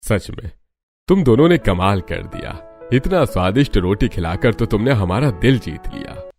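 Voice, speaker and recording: A contented middle-aged father-in-law in a family cartoon. His voice is low and approving, with music behind it. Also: big pitch swings, moderate pace, a little mellow. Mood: pleased. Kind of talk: Hindi family cartoon voice acting.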